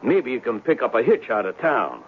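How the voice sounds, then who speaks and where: An actor playing a gruff, plain-spoken small-town police sergeant in a 1970s radio drama, blunt and colloquial. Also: male voice, mid-range voice, huge pitch swings, normal speed. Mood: bossy, bargaining. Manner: blunt, colloquial, hesitant uhs. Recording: tinny, noisy 1970s radio recording.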